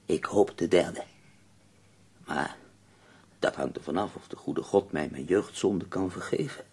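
A man acting in a Dutch radio play as an ailing, nearly blind poet, husky and gravelly, formal, tender and wry. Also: sits low, measured pace, darkish tone. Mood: wry, reflective.

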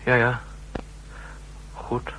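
An actor playing an anxious, deferential subordinate in a 1960s radio drama, hesitant and pleading. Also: male voice, very slow, sharp tone, slight background noise, rough recording. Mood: submissive, nervous. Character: cornered, nervous male subordinate, anxious and deferential.

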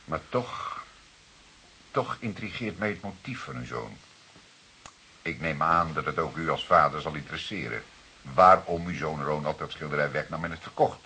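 A low-voiced, insistent police detective. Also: male voice, animated, sharp tone, slight background noise, exaggerated voice. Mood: probing. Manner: formal, deliberate, insistent questioning. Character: composed, probing male police detective, courteous but insistent. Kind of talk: Dutch radio play acting.

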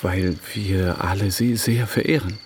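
A man acting a polite, reserved gentleman admirer in a German radio period drama. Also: low voice, fairly even intonation, unhurried, a little hiss or hum. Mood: earnest, subdued.

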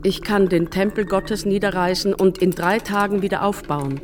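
A woman's voice: medium pitch, fairly even intonation, normal speed, noisy background, close to an everyday voice. An actress playing a woman of the crowd in a biblical radio play, reciting her lines. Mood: accusing. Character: woman questioner and witness in biblical passion play. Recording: prominent music behind the voice.

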